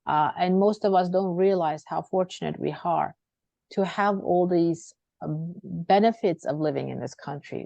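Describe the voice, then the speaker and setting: A woman's voice: fairly low pitch, gravelly, mellow, dry recording. A middle-aged woman from Armenia, talking as an interview guest in a rambling way with many fillers and restarts. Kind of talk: conversational interview. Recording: dull lo-fi audio.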